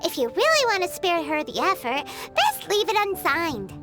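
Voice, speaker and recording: Squeaky, very high-pitched tiny fairy girl. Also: wildly swooping pitch, normal speed, heavily acted. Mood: blunt. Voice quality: squeaky, childlike. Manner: perky, blunt. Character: tiny bubbly fairy girl companion, cheeky and bossy. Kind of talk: video game character acting. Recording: loud music behind. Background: game music.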